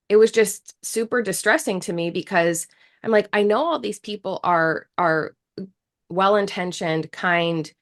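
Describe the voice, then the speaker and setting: A woman in her thirties from the United States, a guest speaking earnestly and reflectively in an interview, with frequent restarts. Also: lowish voice. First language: English. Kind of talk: casual interview talk.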